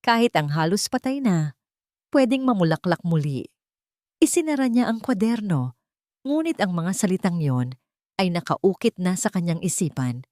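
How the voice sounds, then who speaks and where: A woman narrates dramatic fiction in a fairly low voice. Her delivery is theatrical and suspenseful, with wide swings in pitch, recorded close and dry. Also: sing-song, normal speed, darkish tone, no echo. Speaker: story narrator. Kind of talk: dramatic scripted narration of a love story.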